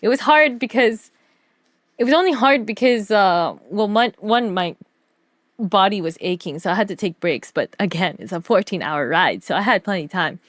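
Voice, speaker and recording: A woman streamer telling stories casually over a slideshow, animated, giggly and slangy in her delivery. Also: medium pitch, normal speed, no room sound, studio quality. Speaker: streamer and artist.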